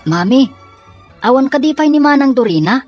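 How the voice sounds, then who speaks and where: Earnest young son of a Filipino family, played by a woman: caring, worried delivery, lightly high voice, audio drama. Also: animated, normal speed, music in the background. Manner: concerned, earnest. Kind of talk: amateur audio drama acting.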